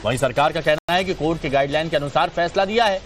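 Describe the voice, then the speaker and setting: A man's voice: fairly high pitch, quick pace. Male news anchor over background music. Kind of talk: scripted news presentation.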